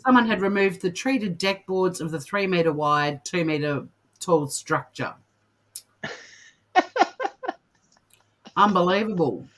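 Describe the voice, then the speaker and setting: A woman's voice: fairly low pitch, unhurried, fairly bright tone. A woman co-hosting a comedy news show, chatting casually and reading out odd stories in a jokey, amused way.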